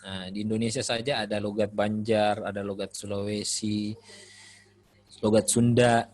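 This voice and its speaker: A man giving an Islamic studies lecture in a relaxed, conversational way, often pausing with "eh" while he thinks. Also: lowish voice, dry recording. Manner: relaxed, conversational, frequent "eh" pauses. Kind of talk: classroom lecture.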